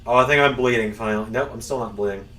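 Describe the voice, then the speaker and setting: A man's voice: mid-range voice, fast, sharp tone, distant mic. A young man streaming a wrestling game, chatting casually to viewers in a rambling, self-mocking way that includes swearing. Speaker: gaming streamer. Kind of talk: casual live-stream chat while playing.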